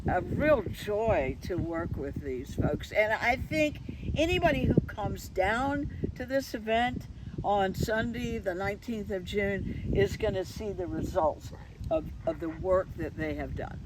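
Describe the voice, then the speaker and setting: An animated woman talks through plans for a community event as one of its organizers. Her delivery is unscripted and conversational. She is recorded outdoors in a park, with clearly audible vehicle noise around her. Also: mid-range voice, unhurried. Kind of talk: unscripted interview talk promoting a community event.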